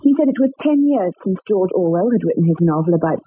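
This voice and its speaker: An actress voicing a grieving young woman, a murdered man's fiancée, in a vintage radio drama, speaking softly and tearfully. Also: fairly low pitch, expressive, quick pace, close to an everyday voice. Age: young adult. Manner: tearful, fragile, softly spoken. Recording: lo-fi old radio broadcast with hiss.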